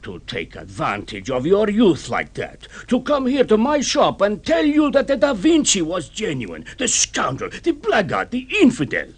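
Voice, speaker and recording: A man's voice: high voice, wildly swooping pitch, normal speed, hardly any pauses, husky, full character voice. An actor playing a cheerful, shrewd art dealer lecturing his son indulgently, in a theatrical old radio drama style. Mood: triumphant, indignant. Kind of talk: 1950s radio drama acting.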